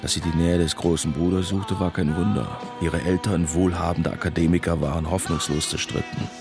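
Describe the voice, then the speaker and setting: Deep-voiced man narrating his youth. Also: fairly even intonation, a little fast. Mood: reflective. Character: man looking back wistfully on his 1970s village youth. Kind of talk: first-person narration in a radio crime drama. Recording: music behind the voice.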